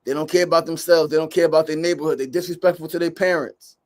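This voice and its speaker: A man's voice: a little high, fairly even intonation, quick pace, a little mellow. A man, hosting a casual livestream show, rambling and upbeat, tagging "right?" onto his points. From United States. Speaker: podcast host.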